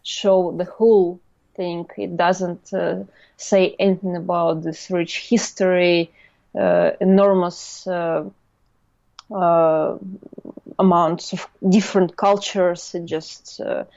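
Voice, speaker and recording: Slow, gravelly Russian-accented woman. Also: lowish voice, flat delivery, dry recording, dull audio. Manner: hesitant, many fillers, soft laughs. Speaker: interview guest, artist. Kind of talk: casual interview chat.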